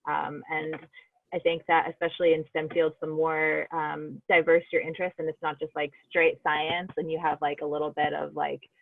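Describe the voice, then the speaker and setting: A student talks on a tinny, phone-like line with a dry sound. She is chatty and fills her talk with "like" and "um". Her voice sits at a middle pitch. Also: flat delivery, normal speed, vocal fry, no room sound. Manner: chatty, lots of "like" and "um". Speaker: student participant. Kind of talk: casual chat in an online workshop. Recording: tinny dry call audio.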